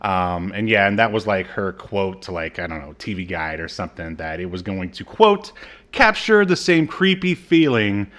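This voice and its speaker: A man's voice: sits low, very animated, normal speed, a bit bright. Rambling podcast co-host man. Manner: rambling, many fillers and restarts. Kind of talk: casual chat.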